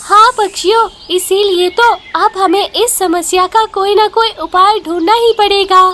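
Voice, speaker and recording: Woman voicing a very high-pitched mother bird. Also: a little slow, a bit bright, a little hiss or hum. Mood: determined. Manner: emphatic, exclamatory. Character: brave, scolding mother bird. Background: music.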